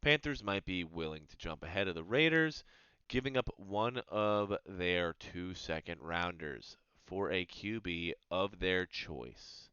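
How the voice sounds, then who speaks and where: Low-voiced man reacting to a mock draft. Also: clear, resonant tone, no room sound, lo-fi sound. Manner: animated, reads passages aloud then reacts with quick asides. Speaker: sports video host. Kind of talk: casual reaction commentary reading a mock draft.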